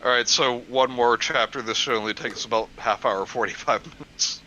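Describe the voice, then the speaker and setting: A man's voice: medium pitch, a little fast, bright, forceful tone. A man in casual, joking banter, throwing in teasing, exclamatory remarks. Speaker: co-host. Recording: dull audio with faint noise.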